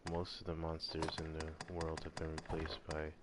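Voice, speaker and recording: A man's voice: extremely low pitch, monotone, moderate pace, very noisy. A man doing casual live gameplay commentary in an offhand way with mild, clean slang. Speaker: video game streamer.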